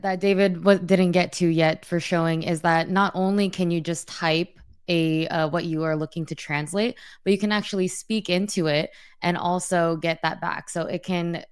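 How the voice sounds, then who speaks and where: A woman's voice: lowish voice, clean tone, no echo, studio quality. A woman co-hosting a casual livestream demo over a video call, talking in a friendly, easygoing way. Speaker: co-host.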